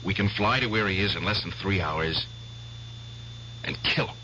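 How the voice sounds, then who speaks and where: An actor playing an obsessed war veteran in a 1970s radio drama, intense and bitter. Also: male voice, low-pitched, moderate pace, background noise, close to an everyday voice. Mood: menacing. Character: obsessed veteran hunting an old comrade. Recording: dull vintage radio broadcast.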